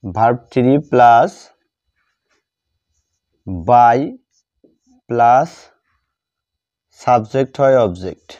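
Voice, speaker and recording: Male teacher, Bengali accent, slow halting delivery, repeated short phrases, mellow tone, dull lo-fi recording. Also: mid-range voice. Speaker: English grammar teacher. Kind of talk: step-by-step grammar lesson, spoken while writing. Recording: dull lo-fi sound.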